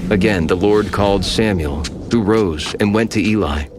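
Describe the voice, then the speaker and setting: A man narrating scripture in a steady, measured storytelling voice for a dramatized audio Bible. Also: lowish voice, background noise.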